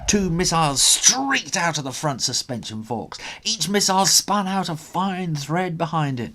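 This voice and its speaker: A man reads a children's adventure story aloud with dramatic expression. His voice is husky and swings widely in pitch as he takes his time over each phrase. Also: a bit bright. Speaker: children's author reading own story.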